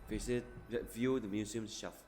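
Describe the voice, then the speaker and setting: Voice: mid-range voice, steady tone, normal speed, a little mellow, long reverb tail, faint music behind. A game streamer giving let's-play commentary, rambling, laughing often and trailing off mid-thought.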